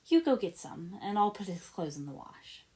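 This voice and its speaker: A woman's voice: on the low side, big pitch swings, normal speed, a little mellow. A woman voicing a friendly, welcoming mother in a story, kindly and matter-of-fact.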